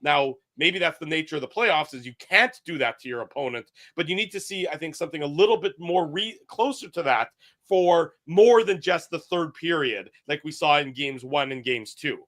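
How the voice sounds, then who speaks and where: A man co-hosting a hockey talk show, laying out his analysis in a measured way. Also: fairly high pitch, expressive, a bit bright. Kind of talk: casual sports talk.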